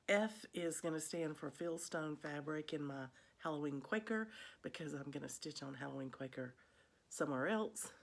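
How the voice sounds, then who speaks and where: A woman's voice: low-pitched, vocal fry. A woman chatting casually to viewers as a vlog host, in a rambling way, with a slightly congested, froggy voice. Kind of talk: casual chat to viewers about cross stitch.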